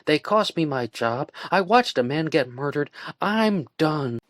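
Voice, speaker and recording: A woman reading as a tired, steady man. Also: low-pitched, a little slow, dry recording, studio quality, pitched above the performer's own voice. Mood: weary. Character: weary, worn-down man, steady and firm.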